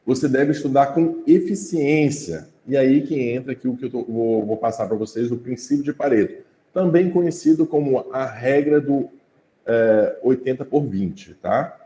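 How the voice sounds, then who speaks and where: Mellow Brazilian man teaching a class. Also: medium pitch, normal speed. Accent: Brazilian Portuguese. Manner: conversational, checks in with the class with tag questions. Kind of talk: informal class lecture. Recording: roomy echo.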